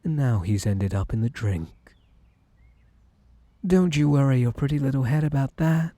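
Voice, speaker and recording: A man with a British accent playing a possessive, obsessive, sweetly menacing bunny man in an ASMR roleplay, coaxing and theatrical, with a breathy voice that slips into whispers. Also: mid-range voice, lively intonation, dark tone, no room sound, natural voice. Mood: sinister calm. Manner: theatrical, coaxing, drawn-out polite endearments.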